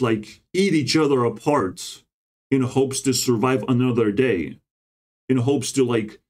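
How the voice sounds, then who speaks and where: Casting: man, meandering casual monologue, profane, drops "bro" often, erratic pitch swings. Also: a little mellow. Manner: meandering, swears often, ends phrases with "bro". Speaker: vlogger.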